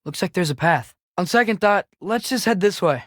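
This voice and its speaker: A man's voice: sits high, animated, normal speed, a bit bright, no echo, clean studio sound. A young male actor playing an earnest, eager teenage boy adventurer in a video game, speaking conversationally with small hesitations. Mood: curious, eager. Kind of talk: video game character acting.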